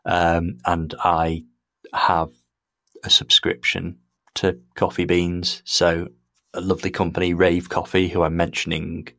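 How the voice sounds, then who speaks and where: Male podcast host, low-pitched, dry close sound, chatty and rambling. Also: completely flat delivery, measured pace, bright, forceful tone, dry recording. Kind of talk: casual podcast chat.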